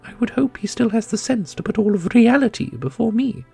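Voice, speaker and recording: A grave custodian in a fantasy audio drama, played by a woman, with a fairly high, breathy voice that creaks at the edges. She is caring and formal, choosing her words in measured pieces over music. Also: animated, warm tone, full character voice. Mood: resolute, grave. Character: grave, caring custodian mourning a lost brother, steadfast. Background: orchestral music.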